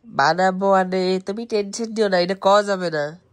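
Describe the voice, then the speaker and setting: A man's voice: sits high, normal speed. Young guy, east bengali dialect, casual home vlog chat with family. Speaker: vlogger chatting with grandmother.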